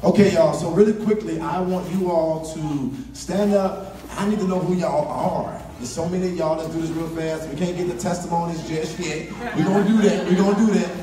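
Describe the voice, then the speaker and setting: A man's voice: a little high, brisk, mellow, a little hiss or hum. Joking man hosting a crowd. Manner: teasing, joking, bossy with the crowd. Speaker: meeting host. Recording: echoey hall from far off with crowd.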